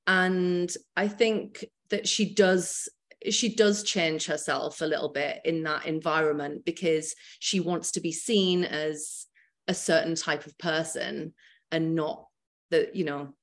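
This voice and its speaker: A woman's voice: low voice, steady tone, takes it slowly. A young woman with a Teesside English accent, chatting casually on a video call interview, with many fillers and restarts. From Teesside, Northeast England. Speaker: actor, guest. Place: small room indoors. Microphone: laptop webcam, video call.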